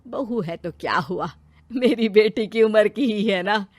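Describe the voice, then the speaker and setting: A woman's voice: medium pitch, a little slow, no room sound, natural voice. A woman voicing a doting, middle-aged mother-in-law in a moral story, motherly and coaxing with a sly streak. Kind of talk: animated moral story dialogue.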